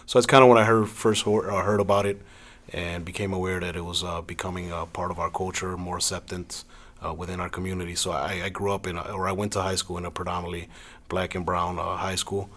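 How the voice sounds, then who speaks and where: A man's voice: low-pitched, level tone, fast talker, a bit bright. A man from Cleveland, speaking hesitantly with many fillers as a panelist in a casual discussion. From Cleveland, Ohio, USA.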